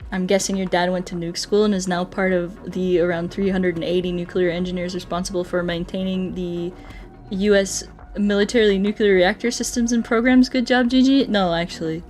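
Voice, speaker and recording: A woman chatting casually and telling stories as a streamer, with an expressive, playful delivery. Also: fairly low pitch, a little fast, faint music behind. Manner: expressive, playful, acts out quoted dialogue. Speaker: game streamer chatting with viewers. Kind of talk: casual chat, storytelling.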